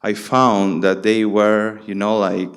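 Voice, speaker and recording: Male curator from Peru, late 30s, low smooth voice, halting panel talk. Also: little pitch movement. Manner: halting, many restarts and fillers. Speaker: guest curator. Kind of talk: panel conversation.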